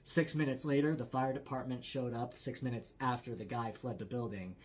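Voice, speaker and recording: A male storyteller speaks in a medium-pitched voice. He narrates a true story as if around a campfire, leaning on key phrases for drama while keeping his wording conversational. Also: fairly even intonation, a little fast. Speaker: storyteller, host.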